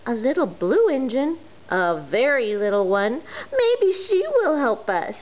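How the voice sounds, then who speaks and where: A woman doing an excited toy clown. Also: fairly high pitch, measured pace, dull audio, pitched above the performer's own voice. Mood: eager. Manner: excited, eager calling out. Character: cheerful little male toy clown.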